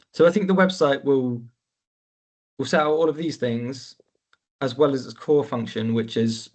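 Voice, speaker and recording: A man speaks at a middle pitch on a video call, giving an informal update. His delivery is loose and full of hedging fillers. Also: a little mellow. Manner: loose, lots of "sort of" and "you know". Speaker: network member presenting. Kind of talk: casual update on a webinar.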